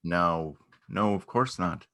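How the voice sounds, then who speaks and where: Low-pitched male actor as a shy beekeeper; halting, understated, earnest stage dialogue. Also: bright, forceful tone, heavily acted. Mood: nervous, polite. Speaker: amateur or local theatre actor. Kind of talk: naturalistic dramatic reading of a stage dialogue.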